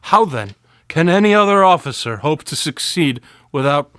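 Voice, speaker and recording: An actor playing a pompous, melodramatic, tipsy detective in a radio drama, wordy and theatrical. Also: male voice, on the high side, very animated, normal speed, a bit bright, no echo, clean studio sound. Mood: boastful, tipsy. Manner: theatrical, wordy, self-important.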